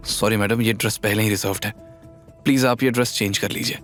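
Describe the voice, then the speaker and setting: A man's voice: fairly low pitch, fairly even intonation, fast, a bit bright, voice pushed down, close to an everyday voice. A man voicing a formal, strict female store assistant in an audio drama, with music behind. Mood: polite, nervous. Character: stern, officious young female luxury store assistant.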